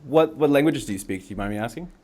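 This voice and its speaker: A man's voice: mid-range voice, expressive, very fast, a little mellow. A man hosting an interview, asking questions with many ums and repeated words. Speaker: interview host. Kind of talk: casual interview.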